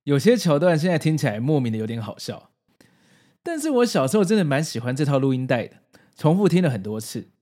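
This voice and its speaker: A man's voice: a little high, big pitch swings, fast, dark tone. A man with a Taiwanese Mandarin accent hosting a nostalgic explainer in a conversational manner. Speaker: host and narrator.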